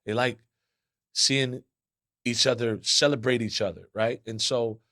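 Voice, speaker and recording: A man with a loud voice, an assistant basketball coach answering at a press conference, earnest and often repeating words as he gets going. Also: mid-range voice, steady tone, measured pace, no echo, studio quality.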